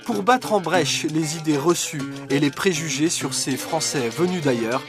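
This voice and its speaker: A man's voice: a little high, lively intonation. Earnest male documentary narrator. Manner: measured, earnest delivery. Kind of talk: scripted voice-over narration. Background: music.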